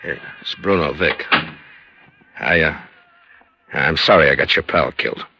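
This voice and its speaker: An American man playing a gruff, remorseful informant in hiding in a 1950s radio crime drama, speaking plainly. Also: a bass voice, a little slow, pushed and bright, no echo, exaggerated voice. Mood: wary, subdued. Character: cornered, remorseful tough male syndicate informant in hiding. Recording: muffled old radio recording.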